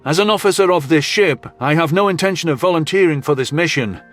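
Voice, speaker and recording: A man playing a brisk, frank human military officer in a sci-fi audio drama, giving blunt, matter-of-fact briefings. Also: a little high, soft background music, close to an everyday voice. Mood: brisk, official. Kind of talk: audio drama character acting.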